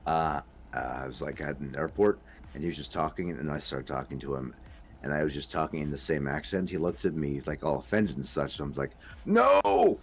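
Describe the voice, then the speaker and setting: A man's voice: very deep voice, animated, brisk, breathy edge. A man with a Scottish accent hosting a casual chat podcast, who slips into accents as he talks. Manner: slips into accents while talking. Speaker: podcast host.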